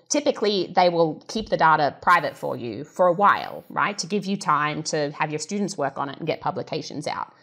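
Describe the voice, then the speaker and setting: A woman's voice: on the low side, fairly bright tone. A woman speaking as a scientist guest, explaining thoroughly in a conversational way with rhetorical questions and check-ins. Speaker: exoplanet scientist, panel guest.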